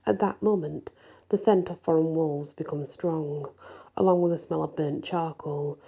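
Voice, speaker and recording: A woman narrating a first-person fantasy story in an expressive, deliberate storytelling style. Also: deep voice, vocal fry, husky. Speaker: story reader.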